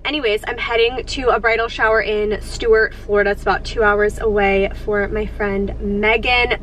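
A young woman in her twenties chatting to camera from a parked car, sounding congested from a cold and gushing with excitement. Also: medium pitch, a little hiss or hum, rough recording. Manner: gushing, upbeat, lots of "like" and "so excited". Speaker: lifestyle vlogger. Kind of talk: casual chat to camera. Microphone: camera on the dashboard.

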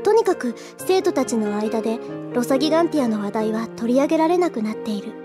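A woman's voice: a little high, animated, fast, close to an everyday voice. An actress playing an earnest, easily flustered teenage schoolgirl in an anime audio drama, with a hesitant, stammering manner. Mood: calm narrating. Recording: music behind the voice. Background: background music.

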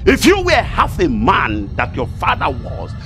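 Bitter, high-voiced Nigerian elder man, middle-aged, slow and heavy with threats, music underneath. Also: huge pitch swings, a bit bright, exaggerated voice. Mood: enraged. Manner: indignant, insulting, drawn-out delivery. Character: proud, quarrelsome red-capped uncle, bitter and threatening. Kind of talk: film drama acting.

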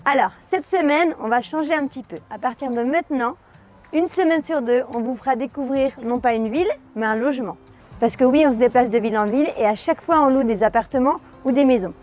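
A woman's voice: high-pitched, a little fast, darkish tone. A woman in her thirties from France, a family travel vlogger, chatting straight to camera in a backyard and explaining things to her viewers. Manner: friendly, explanatory, talks to viewers directly. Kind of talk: casual chat to camera. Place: outdoors in a grassy backyard. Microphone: medium shot from a camera on a tripod.

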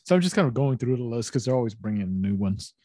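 A man's voice: medium pitch, expressive, quick pace, dark tone. A man co-hosting a casual podcast over a video call, joking and teasing his friends and swearing freely. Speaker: podcast co-host. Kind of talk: casual chat. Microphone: video call with desk microphone.

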